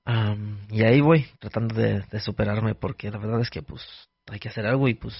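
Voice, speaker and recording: Young man, low and husky, hesitant radio guest; muffled dry studio sound. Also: steady tone, fairly quick, dry recording. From United States. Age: young adult. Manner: hesitant, many restarts and fillers. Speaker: guest, board operator. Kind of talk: casual radio conversation.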